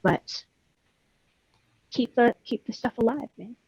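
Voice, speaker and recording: A woman's voice: a little high, slow pace, creaky, a little mellow, husky, no echo, dull audio. A woman in her thirties chatting casually as a guest on a video-call panel. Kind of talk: casual chat. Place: home room, video call.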